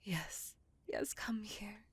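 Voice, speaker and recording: A woman's voice: medium pitch, heavily acted. A woman playing a possessive, menacing, centuries-old female vampire in a whispery, intimate ASMR roleplay. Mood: tender, possessive. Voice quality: breathy, whispery. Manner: slow, intimate, lingering delivery with sighs. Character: possessive five-hundred-year-old female vampire, obsessively loving, menacing.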